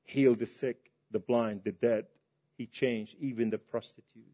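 Male preacher from Lebanon, mid-pitched voice, fervent testimony sermon, big swings in volume. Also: steady tone, moderate pace. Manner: impassioned, swings between loud and hushed.

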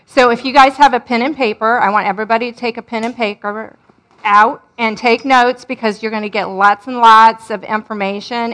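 A woman giving a presentation at a church, speaking loudly in an earnest, instructive way straight to the audience. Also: medium pitch. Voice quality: loud voice.

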